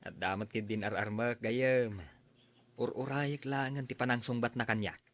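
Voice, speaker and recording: A man's voice: mid-range voice, steady tone, moderate pace, no room sound, natural voice. A man playing an easygoing, chatty bachelor drinking buddy in an audio drama. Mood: friendly, relaxed. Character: unmarried drinking buddy back from working abroad. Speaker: voice actor in a drama. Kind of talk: Ilocano audio drama acting.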